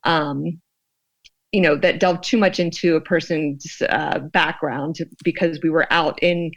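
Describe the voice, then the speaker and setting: A woman explaining her research in an interview, in a thoughtful, halting, conversational way. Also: low-pitched, fairly even intonation, lo-fi sound. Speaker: guest, sociologist and fear researcher.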